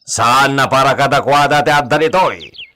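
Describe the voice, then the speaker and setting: An actor playing a proud, wealthy landowner in a radio drama, with an Ilocano accent and an authoritative, declaiming manner with touches of Spanish. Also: male voice, mid-range voice, normal speed, sharp tone, close to an everyday voice. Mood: commanding. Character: proud wealthy rancher señor, commanding landowner.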